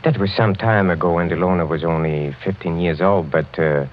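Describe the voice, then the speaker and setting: An actor playing a courtly, sinister middle-aged count in a 1940s radio mystery, speaking with measured, suave menace. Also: male voice, deep voice, a little hiss or hum, natural voice. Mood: sardonic amusement. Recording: muffled 1940s radio recording.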